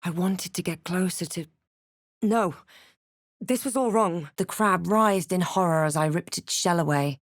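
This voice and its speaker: A woman playing an adventurous, thoughtful fantasy hero in a role-playing game, with a measured, literary and dramatic delivery. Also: on the low side, no room sound, clean studio sound, lightly acted. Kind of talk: scripted game voice lines and narration.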